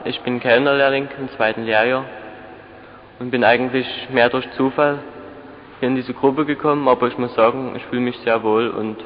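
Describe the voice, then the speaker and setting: A man's voice: medium pitch, fairly even intonation, normal speed, slight background noise. Eighteen-year-old man in church echo. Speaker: apprentice waiter, assembly speaker. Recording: echoey church hall, dull 1989 tape.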